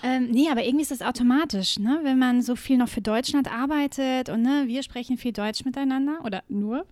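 A chatty German woman co-hosting a podcast, with a voice a little higher than most and lots of easy fillers. She talks in a relaxed, friendly back-and-forth. The sound is dry and close, recorded at home with the windows shut. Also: fairly quick, no room sound. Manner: chatty, laughs easily, many fillers. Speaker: podcast co-host. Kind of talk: casual chat.

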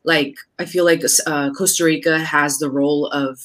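A woman's voice: deep voice, steady tone, moderate pace, a bit bright. A young woman from New Jersey, a guest on an interview show, answering in an articulate, self-assured way. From New Jersey, United States. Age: young adult. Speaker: guest, digital strategist.

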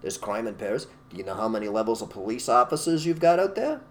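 A man's voice: fairly low pitch, very animated, fast talker, slight background noise. A man co-hosting a casual talk show, ranting emphatically and mimicking people in put-on voices. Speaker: podcast co-host. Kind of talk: opinionated casual chat.

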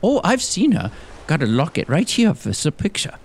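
A man playing a fussy, excitable young lawyer in a comic audio drama, with a fretful, theatrical delivery. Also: fairly high pitch, moderate pace, slightly rough, heavily acted. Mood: comic, self-important. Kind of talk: gothic comedy audio drama dialogue.

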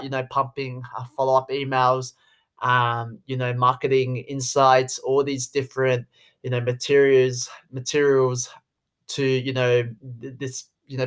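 A man's voice: mid-range voice, a bit bright, clean tone. Rambling young Australian man. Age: 20s. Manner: rambling, heavy use of "you know" fillers. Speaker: podcast host, sales rep. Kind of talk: casual monologue.

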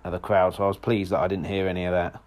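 A man with a hoarse voice, hosting a solo football podcast in a rambling, casual way with lots of restarts. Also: low-pitched, level tone, quick pace, a little mellow. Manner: rambling, frequent restarts and fillers.

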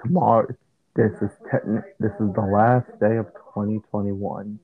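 A man co-hosting a casual chat podcast, talking loud in a flat monotone. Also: lowish voice, normal speed. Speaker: podcast co-host. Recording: tinny phone-like line.

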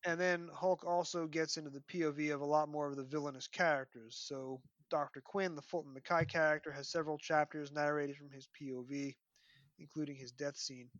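A man's voice: a little high, steady tone, fairly quick, fairly bright tone, no echo, highs cut off. A man hosting a podcast, discussing books in an analytical, enthusiastic, conversational way. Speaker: podcast host.

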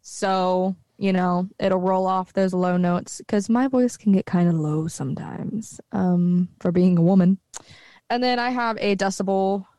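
A woman with a low speaking voice, co-hosting a podcast in relaxed, chatty conversation on her own microphone at home. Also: measured pace, no room sound. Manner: relaxed, chatty, laughs easily. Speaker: podcast co-host. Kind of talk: casual chat. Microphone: own microphone with bass roll-off.

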